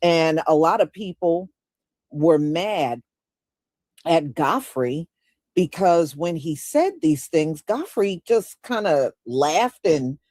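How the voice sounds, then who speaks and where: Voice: medium pitch, lively intonation, takes it slowly, darkish tone, no echo, clean studio sound. A show host giving casual commentary and telling folksy stories with conversational asides.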